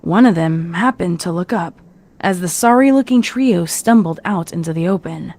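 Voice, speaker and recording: Lady narrator, lowish voice, reading a story script, measured and dramatic. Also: a little mellow.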